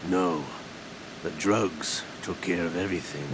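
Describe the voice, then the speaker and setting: An actor playing a composed older villainous intelligence officer in a video game, gravelly, measured and deliberate in speech. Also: male voice, deep voice, steady tone. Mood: measured, businesslike. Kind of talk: video game cutscene acting. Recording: noisy vehicle-like ambience behind.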